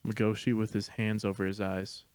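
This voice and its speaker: A man delivers scripted narration of stage directions. His voice is fairly low and stays very even. He sounds matter-of-fact, in a dry recording with no room sound. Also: moderate pace, clean tone, dry recording.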